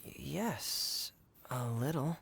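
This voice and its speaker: A quiet young character from a video game speaks slowly with gravelly fry. The delivery is deadpan, hesitant and understated. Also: female voice, extremely low pitch, slight background noise, exaggerated voice.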